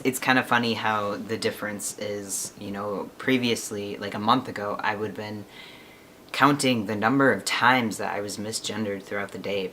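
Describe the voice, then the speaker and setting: A man's voice: on the low side, pushed and bright, slight background noise. A man in his twenties chatting casually to his camera, rambling and laughing often. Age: 20s. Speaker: vlogger.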